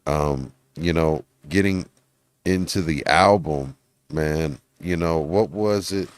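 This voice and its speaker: Man, very deep voice, podcast host, laid-back, slangy, dry close sound. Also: slow pace, no room sound. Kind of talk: casual interview hosting.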